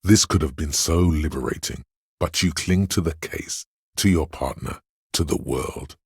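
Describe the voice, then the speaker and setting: Very deep, gravelly embodiment of pain. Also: male voice, no room sound, clean studio sound, exaggerated voice. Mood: grim, coaxing. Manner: brooding, sardonic, deliberate. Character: inner voice of pain, a grim sardonic goading presence. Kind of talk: dramatic second-person game narration.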